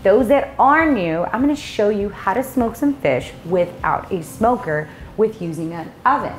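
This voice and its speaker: A woman's voice: medium pitch, expressive, moderate pace, reverberant, a little hiss or hum. A woman in her thirties co-hosting a vlog, cheerfully walking through a recipe step by step with small jokes, in a home kitchen. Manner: upbeat, jokey, step-by-step instructions. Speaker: vlog co-host. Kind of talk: casual cooking demo and chat.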